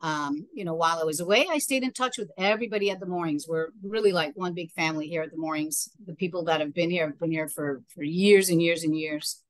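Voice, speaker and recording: A woman's voice: on the low side, fast talker, few pauses, dry recording, poor audio. A middle-aged woman from Trinidad talking conversationally about herself as a guest sales representative on a webinar. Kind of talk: conversational personal account in a webinar. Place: indoors, on a video call.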